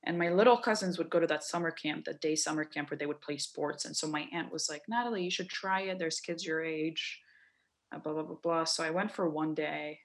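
Young woman from Lebanon, low-voiced storyteller. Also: a little fast. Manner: animated, quoting people in voices. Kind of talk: casual storytelling.

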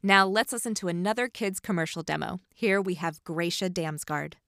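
A woman podcast host speaking in a measured, explanatory way, close to the microphone. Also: lowish voice, fairly bright tone. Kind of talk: instructional podcast hosting.

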